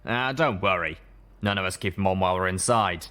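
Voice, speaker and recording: A man voicing a rumbling, sternly hospitable undead ghoul stallion in a story reading, measured and warning. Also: pushed and bright. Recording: echoey room, audible background noise.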